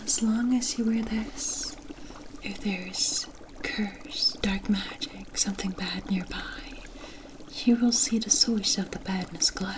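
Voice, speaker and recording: A woman voicing a mysterious, wry forest witch in a hushed, deliberate ASMR fantasy roleplay, with cryptic storybook phrasing. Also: medium pitch, steady tone, natural voice, poor audio. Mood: solemn, mysterious. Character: mysterious forest witch, wry, knowing, offering protection for favors.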